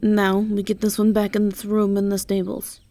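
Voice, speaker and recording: A woman voicing an authoritative, composed and wry academy professor in an amateur fantasy audio drama, with a measured, instructive manner. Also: mid-range voice, monotone, dark tone, dry recording, lightly acted.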